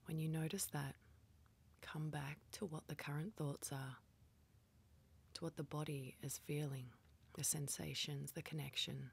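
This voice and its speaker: A woman guides a meditation in a low, hushed voice. Her sound is extremely breathy and whispery, with some creak at the edges. She gives calm, gentle instructions and leaves space between phrases. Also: measured pace, long pauses.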